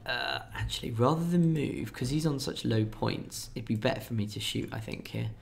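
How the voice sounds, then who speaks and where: A man hosting a stream, thinking his moves through aloud in loose, easygoing chat. His voice sits mid-pitch with a noticeable gravelly fry. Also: normal speed. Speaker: stream host. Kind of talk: casual chat while playing a game.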